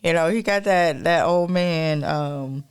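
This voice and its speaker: A woman co-hosting a podcast in a casual, rambling monologue with a soft, melodic voice, talking straight to listeners as y'all. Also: low voice, slow pace, clear, resonant tone. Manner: rambling, addresses listeners as y'all, many fillers. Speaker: podcast co-host.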